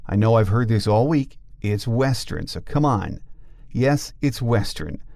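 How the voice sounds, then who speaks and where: A man's voice: fairly low pitch, dark tone, no echo, clean studio sound. A middle-aged man reading scripted sports podcast narration as the host.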